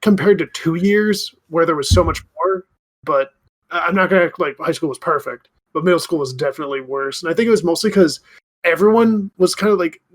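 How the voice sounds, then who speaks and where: A man's voice: a little high, brisk. A man in his thirties chatting casually as a podcast co-host. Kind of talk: casual chat.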